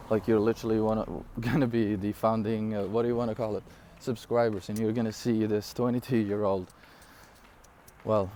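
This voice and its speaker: A man in his twenties from Syria, for whom English is not his first language, giving an energetic, rambling business pitch straight to camera with frequent fillers and laughs. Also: a little hiss or hum. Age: 20s. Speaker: online business coach. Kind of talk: casual direct-to-camera talk.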